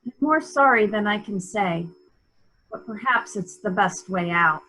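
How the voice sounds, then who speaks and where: Woman acting a husky, concerned lady. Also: medium pitch, lively intonation, a little slow, sharp tone, low-quality recording. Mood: anxious, concerned. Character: concerned household lady facing bad news. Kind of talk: amateur murder mystery radio play acting.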